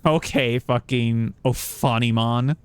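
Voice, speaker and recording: A man giving casual, sweary live reactions as a game streamer, excitable and slangy. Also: mid-range voice, slow pace, smooth, dry recording. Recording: game music behind the voice. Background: video game music.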